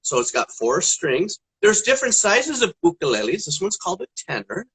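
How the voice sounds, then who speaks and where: A man's voice: high voice, sing-song, normal speed, fairly bright tone, breathy edge, poor audio. A man speaks as a guest puppeteer on a children's show. He explains things casually, in short simple steps that small children can follow. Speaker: guest puppeteer and ukulele player.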